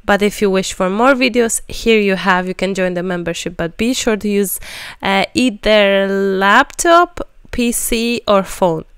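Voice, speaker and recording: A woman from Poland with a Polish accent, chatting happily as she works through a craft tutorial, a little hoarse and rambling. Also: mid-range voice, a little slow, no room sound, studio quality. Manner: bubbly, rambling, lively chatter. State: slightly hoarse, clears throat. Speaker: craft channel host. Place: indoors at a craft table.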